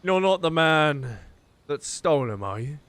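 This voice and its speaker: A man's voice: a little high, sing-song, a little slow, fairly bright tone, no echo. A man giving casual, playful gameplay commentary, joking, repeating phrases and bantering with the characters. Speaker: gaming content creator, host.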